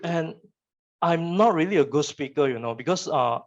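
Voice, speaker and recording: A man's voice: a little high, expressive, moderate pace, lo-fi sound. A young man from Malaysia with a Malaysian English accent, Chinese his first language, giving a casual motivational talk to students and often repeating words as he restarts.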